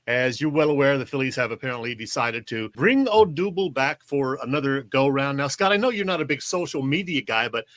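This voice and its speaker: A man with an American accent hosts a sports talk interview in a bright, forceful voice. The sound is dry, and his questioning is conversational. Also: medium pitch, brisk, few pauses, dry recording. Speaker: sports show host, interviewer.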